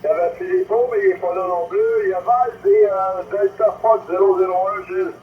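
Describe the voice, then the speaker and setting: A man's voice: high-pitched, steady tone, brisk, narrow, tinny audio. A man with a Quebec French accent co-running a CB radio session in casual operator chat. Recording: radio noise behind the voice.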